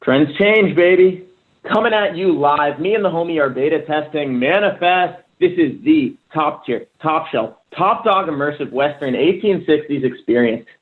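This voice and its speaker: A cocky young slacker streamer, played by a man with a fairly high voice. He brags in loose slang at a script read, and the sound is narrow and slightly tinny. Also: normal speed, lightly acted. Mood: hyped. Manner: slangy, boastful hype. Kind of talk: table read of a screenplay.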